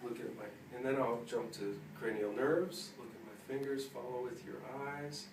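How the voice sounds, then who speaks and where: A man's voice: mid-range voice, moderate pace. A man demonstrating a neurological exam as a clinician, giving a patient instructions and explaining in a matter-of-fact clinical manner. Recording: roomy, distant mic with faint noise.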